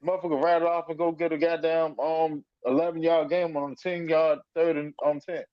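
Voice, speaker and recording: A man's voice: fairly high pitch, flat delivery, a little fast, dry recording, muffled recording. A man in casual, salty sports fan chat, speaking emphatically and repeating himself to make his point. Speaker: sports talk panelist.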